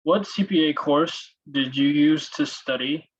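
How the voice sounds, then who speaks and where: A man moderating a panel in a formal, measured and slightly hesitant manner, on dull call audio. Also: on the high side, husky.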